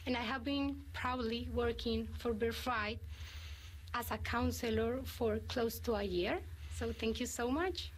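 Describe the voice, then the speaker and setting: Woman, Colombian Spanish accent, highish voice, slow careful speech, faint noise. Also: rough recording. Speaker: psychologist, counselor. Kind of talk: podcast guest.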